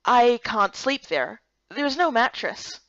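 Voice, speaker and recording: A woman's voice: a little high, big pitch swings, slow pace, fairly bright tone, no echo, lo-fi sound, exaggerated voice. A woman playing a weary, frustrated young heroine in a fantasy game, plaintive and plain-spoken. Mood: complaining.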